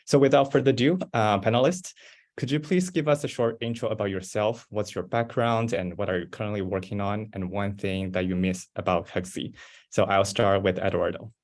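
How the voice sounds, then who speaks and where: Young man, panel moderator, fairly low voice, polite and eager, some ums. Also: fairly even intonation, a little fast, darkish tone. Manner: polite, eager, many fillers. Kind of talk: hosting a virtual panel.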